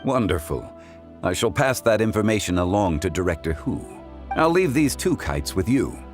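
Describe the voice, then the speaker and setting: Casting: dignified, measured scholar, low and melodic. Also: male voice, big pitch swings, music in the background. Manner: measured, formal, courteous. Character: calm, dignified, learned man. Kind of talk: game voice acting.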